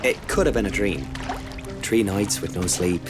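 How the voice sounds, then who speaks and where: A man playing a blunt, sceptical young soldier in a game drama, gruff and matter-of-fact. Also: low voice, animated, normal speed, over music. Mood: subdued. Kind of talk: game cutscene acting.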